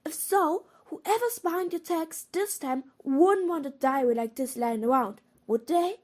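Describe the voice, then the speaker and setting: A woman's voice: high voice, expressive, measured pace, darkish tone. A woman playing an earnest, clever and careful schoolgirl witch in an audio drama, inquisitive and reasoning aloud. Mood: curious, deducing.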